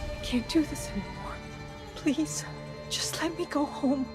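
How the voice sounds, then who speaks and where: A desperate female captive in a game cutscene. Her high voice pleads in fear, with music clearly behind it. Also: a little slow. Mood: terrified pleading. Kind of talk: video game cutscene acting.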